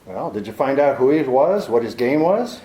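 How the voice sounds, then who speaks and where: An actor playing a cool, hardboiled private detective in an old-time radio drama, with a dry, laconic, sardonic manner. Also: male voice, medium pitch, very animated, normal speed, mellow, echoey room, lightly acted, low-quality recording. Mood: wry. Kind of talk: 1940s radio drama acting.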